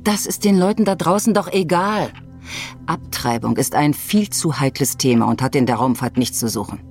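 An actress playing a stern, uncompromising space agency boss in an audio drama, speaking in a cold, commanding manner. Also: female voice, low voice, expressive, moderate pace, a little mellow, faint music behind. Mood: cold pressure.

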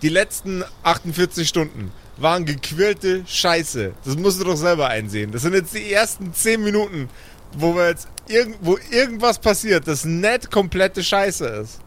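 Male role-play podcaster, highish voice, casual slangy delivery, exasperated edge. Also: expressive, moderate pace, fairly bright tone. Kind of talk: casual improvised in-character dialogue.

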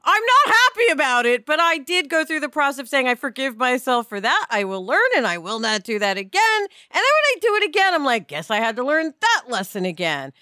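A woman hosting a casual discussion, speaking in an animated, emphatic way and repeating phrases to make her point. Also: high voice, a little fast, hardly any pauses, clear, resonant tone, no echo, studio quality. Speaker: podcast host.

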